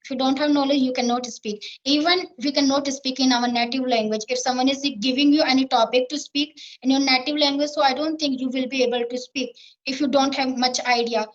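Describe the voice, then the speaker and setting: A woman's voice: high voice, fairly even intonation, fairly quick, lo-fi sound. A woman with an Indian English accent answering interview questions in earnest, repetitive phrasing with fillers. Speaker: English learner being interviewed. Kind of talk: spoken English practice interview.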